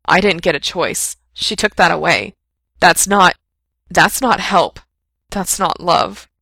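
A woman's voice: fairly low pitch, fairly even intonation, normal speed, gravelly, no room sound. Woman reading as a tense, defensive young man. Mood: agitated. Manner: loud, strained outbursts. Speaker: reader voicing a character.

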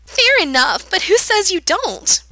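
A woman reading aloud, voicing a manic girl with a sharp, sweet, high-pitched voice who taunts and teases. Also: very animated, a little slow, no room sound, heavily acted. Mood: taunting.

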